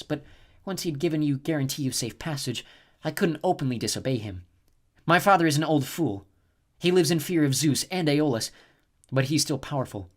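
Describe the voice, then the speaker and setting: A man voicing a cold, scheming female snow goddess who speaks softly with a purring, gloating manner. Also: a little high, pitched above the performer's own voice, close to an everyday voice. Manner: soft, purring, icy and gloating.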